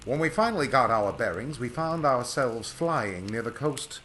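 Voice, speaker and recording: Proud male aviator for old-time radio, played by a man: curt, formal, forceful, with wide melodic swings over faint noise. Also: mid-range voice, sing-song, moderate pace, poor audio. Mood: grave. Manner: formal, old-fashioned diction. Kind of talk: 1940s radio acting.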